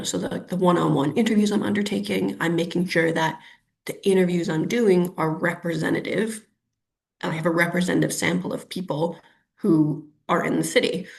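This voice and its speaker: A woman's voice: on the low side, creaky. A woman in her thirties, a guest in a webinar interview, chatty and thinking aloud with many ums and restarts. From Canada. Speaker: urban planner guest.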